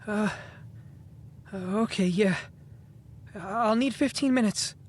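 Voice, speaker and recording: A woman playing an earnest, stammering, excitable teenage boy inventor from 1915 in an audio drama. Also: mid-range voice, takes it slowly, slight background noise, close to an everyday voice. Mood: eager, nervous.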